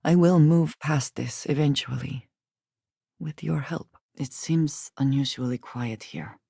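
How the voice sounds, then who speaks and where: A wary woman spacefarer for a sci-fi video game, in a lowish voice recorded very dry and close. She speaks in measured, formal sentences, reserved and dryly loyal. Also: creaky, mellow, slightly rough, no room sound, heavily acted. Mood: cool, reserved. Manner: measured, formal phrasing with few contractions. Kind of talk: video game voice acting, scripted dialogue.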